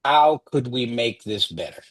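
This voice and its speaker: Male workshop presenter, slightly husky, dry close sound, chatty teacher with lots of "you know" and "right?". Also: medium pitch, lively intonation, measured pace, fairly bright tone, dry recording. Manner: chatty, many fillers and restarts, asks "right?".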